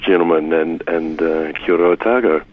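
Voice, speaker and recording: Deep, husky, droll older New Zealand man. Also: fairly quick, dry recording. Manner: wry, droll, self-deprecating humour. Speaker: interview guest, community development academic. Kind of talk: conversational storytelling interview. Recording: narrow, muffled radio-like remote line.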